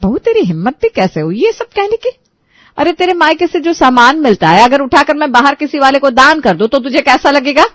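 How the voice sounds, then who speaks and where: A woman's voice: sits high, very animated, fairly quick, no room sound, pitched above the performer's own voice. A woman voicing an indignant elderly mother-in-law in a cartoon story, scolding sharply and dramatically. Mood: furious.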